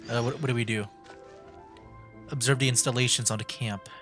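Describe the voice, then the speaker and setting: A man's voice: medium pitch, fairly even intonation, fairly quick. A man livestreaming a game with casual, laid-back commentary, thinking aloud as he plays. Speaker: game streamer. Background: game music.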